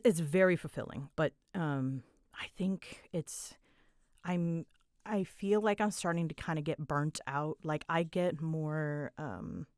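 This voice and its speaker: A woman's voice: fairly low pitch, no echo, clean studio sound. A woman chatting casually and animatedly as she answers listener questions, with a giggly, self-deprecating manner. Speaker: hobbyist audio roleplay creator answering listener questions.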